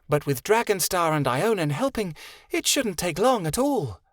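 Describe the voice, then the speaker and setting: A man voicing an excitable fairy woman who speaks of herself by name. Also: high-pitched, moderate pace, dry recording, studio quality, voice pushed up. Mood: stern.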